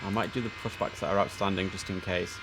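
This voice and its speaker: A man's voice: low voice, steady tone, quick pace, over music. A man livestreaming as an air traffic controller, giving clipped formal radio instructions and muttering casual commentary to himself in between.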